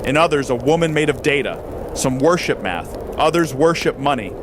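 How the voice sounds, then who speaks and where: A man's voice: medium pitch, expressive, moderate pace, background noise, natural voice. Man playing a wry, weary time traveler. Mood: wry, reflective. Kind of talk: conversational audio drama dialogue.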